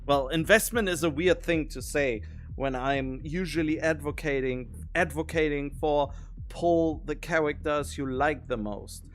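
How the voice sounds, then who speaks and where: A man's voice: fairly high pitch, a little slow, faint music behind. A man streaming a video game, thinking aloud in casual commentary with rambling, wondering questions.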